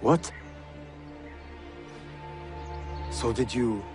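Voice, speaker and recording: A man's voice: medium pitch, expressive, unhurried, music in the background, natural voice. Man acting a refined young Ottoman prince, mid-range voice. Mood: remorseful. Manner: formal, articulate. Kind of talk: video game cutscene acting.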